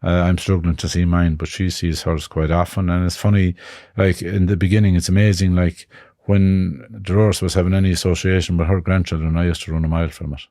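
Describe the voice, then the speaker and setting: Irish man in his fifties, very deep mellow voice, reflective guest, dry close sound. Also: level tone, dry recording. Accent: Irish, Connemara. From Ireland. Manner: reflective, halting, with frequent restarts. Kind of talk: personal life story in conversation.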